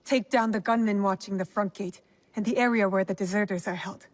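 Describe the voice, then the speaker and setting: An actress playing a loyal, composed shinobi in a video game, speaking in a calm, understated, assured way. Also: female voice, mid-range voice, a little fast, lightly acted. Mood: focused, reassuring. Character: loyal, composed female shinobi, quietly determined. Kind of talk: dramatic video game acting.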